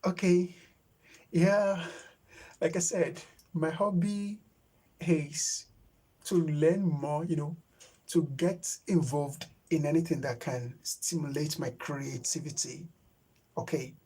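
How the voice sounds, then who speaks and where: A man's voice: fairly high pitch, steady tone, slow, dark tone, breathy edge, rough recording. A young man with a Nigerian English accent, a native Yoruba speaker, chatting casually with viewers on a livestream and searching for his words with lots of fillers. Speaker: livestream host. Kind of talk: casual live chat with viewers.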